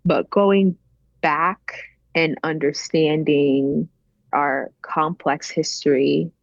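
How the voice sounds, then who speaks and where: A woman's voice: sits low, steady tone, very slow, gravelly, no echo, dull audio. A woman interview guest telling her personal story in a reflective, emphatic way, repeating phrases for weight. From Benin, later grew up in the United States.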